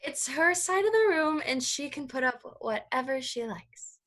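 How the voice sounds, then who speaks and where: A woman playing a guarded, conflicted young college student in a staged reading, halting and sighing as the character deflects. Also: high-pitched, animated, fairly bright tone. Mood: awkwardly amused. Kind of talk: live staged reading of a play over video call. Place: online video call staged reading.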